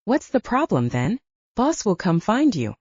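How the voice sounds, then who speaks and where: A laid-back young werewolf fighter talking in a teasing, relaxed drawl, read by a woman. his voice swings up and down a lot and the tone is dark and mellow. the recording sounds dull and dry, like a close home setup. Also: mid-range voice, unhurried, slightly rough, no echo, voice pushed up, exaggerated voice. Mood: easygoing.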